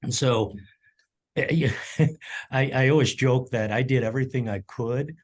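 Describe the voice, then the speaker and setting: A middle-aged man, a chef whose first language was German, telling his family story in a relaxed interview with many ums and repeated words. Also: mid-range voice, unhurried. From United States (Colorado). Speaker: chef and culinary educator, interview guest.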